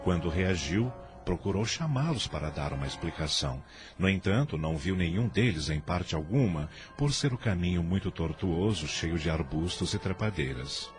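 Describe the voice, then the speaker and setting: A man's voice: deep voice, lively intonation, normal speed, over music. A man narrating a radio drama from a script in a formal, literary storytelling manner.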